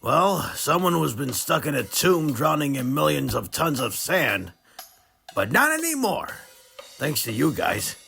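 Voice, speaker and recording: Gravelly, lively male temple guardian, acted by a man. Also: a little high, unhurried, soft background music, exaggerated voice. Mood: grateful, explanatory. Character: ancient male temple guardian freed from a tomb, loyal oath-keeper. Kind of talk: audio drama acting.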